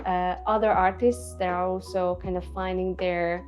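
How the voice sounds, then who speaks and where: A young adult woman from Hungary, speaking English as an in a relaxed interview, soft-spoken and reflective, pausing and restarting often. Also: fairly low pitch, faint music behind. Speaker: artist guest. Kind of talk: casual interview. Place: Budapest.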